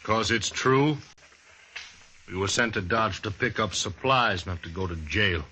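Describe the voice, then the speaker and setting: An actor playing a bitter, distrustful cavalry soldier in an old-time radio western, curt and sardonic. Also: male voice, fairly low pitch, unhurried, pushed and bright. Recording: faintly noisy vintage radio recording.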